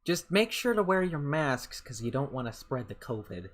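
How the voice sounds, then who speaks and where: A man's voice: big pitch swings, moderate pace. A young man in his twenties, rambling casually with some swearing, chatting over a game as a YouTuber answering questions. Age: 20s. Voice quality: voice has grown deeper. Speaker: gaming YouTuber. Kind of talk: casual gameplay commentary and Q&A.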